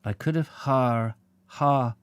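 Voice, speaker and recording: A man voicing a sulking rustic village cricketer who speaks haltingly in broad dialect. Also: mid-range voice, smooth, dry recording, natural voice. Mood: sullen.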